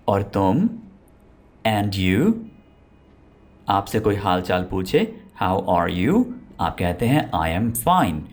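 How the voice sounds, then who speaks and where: Man slowly modeling English phrases. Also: medium pitch. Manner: checks in with "ठीक है?" and "ओके". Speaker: English teacher. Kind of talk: language lesson with slow model sentences.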